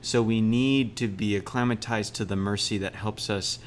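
A man's voice: fairly low pitch, steady tone, a little hiss or hum. A man co-hosting a podcast, joking and speaking with frequent restarts in a casual discussion. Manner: warm joking banter, halting restarts. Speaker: podcast co-host.

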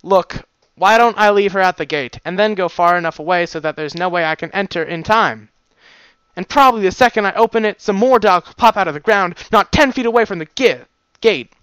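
Man playing a feisty pony guard mare, shrill and scornful, shouting down from a tower; storybook reading. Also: sing-song, measured pace, vocal fry, hardly any pauses, no echo, exaggerated voice, low-quality recording. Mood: sneering.

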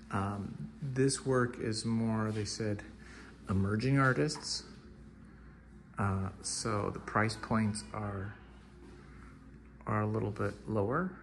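A man giving low-key, casual commentary as an artist walking through an art gallery, often trailing into murmured asides. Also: vocal fry, background noise. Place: large indoor art gallery with hard floors.

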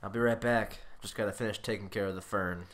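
A man playing a lovestruck husband doting on his houseplant in a comedy script, theatrical, slipping between breathy murmurs and big declarations. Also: medium pitch, big pitch swings, normal speed, pushed and bright. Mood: placating.

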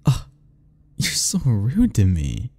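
A man's voice: fairly low pitch, huge pitch swings, takes it slowly, a little mellow. A young man speaking a playful, teasing ASMR roleplay straight to the listener, laughing often between lines.

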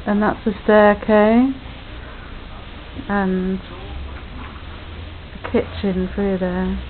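A young woman chatting casually and self-deprecatingly in her living room, talking quietly into a built-in webcam mic. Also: fairly even intonation, drawn-out words. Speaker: home video maker. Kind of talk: casual home video chat. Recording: tinny low-quality webcam audio with faint hiss.

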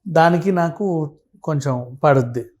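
A man's voice: a little high, unhurried, a little mellow. A man with a Telugu accent talking casually and explaining things through everyday examples and lists, mixing in English words.

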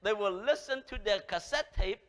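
A man in his thirties with a Cambodian accent, preaching a lively sermon with big swings from loud calls to soft words. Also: high-pitched, normal speed. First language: Khmer. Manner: animated, gesturing, swings from loud exclamation to soft. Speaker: pastor. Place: church sanctuary, large, indoors. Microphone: headset. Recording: long hall reverb.

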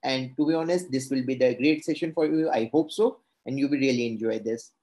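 A young Indian man with an Indian English accent, a native Hindi speaker, presents earnestly over a video call from his home. His speech is halting and full of restarts and fillers as he works through his slides. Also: medium pitch, dark tone. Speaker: panelist presenting. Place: home room, indoors.

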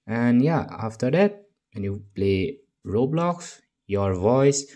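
A man narrating a casual step-by-step tech tutorial in a halting way, often checking in with the listener. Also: on the low side, warm tone.

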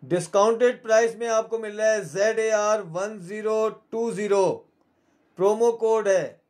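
High-voiced Pakistani man pitching sales. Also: darkish tone. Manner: repetitive, urging, salesman-like.

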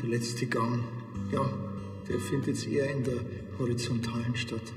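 A man's voice: mid-range voice, level tone, normal speed, music in the background, natural voice. Man playing dry Bavarian cop, echoey sound. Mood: sarcastic. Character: dry, sarcastic, impatient Bavarian village policeman. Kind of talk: TV crime comedy acting.

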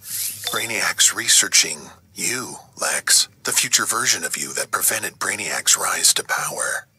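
A man's voice: fairly low pitch, lively intonation, unhurried, breathy edge, no room sound, full character voice. A man playing a wry tech adviser to villains in a video game, sardonic and conversational. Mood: dry, wary. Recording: loud game music behind.

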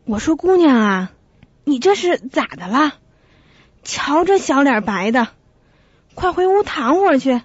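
A woman's voice: sits high, big pitch swings, unhurried, pauses often, no echo. A woman playing a fussy, superstitious older neighbour with a folksy, nagging manner in a radio drama. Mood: fussing concern. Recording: muffled audio under loud music.

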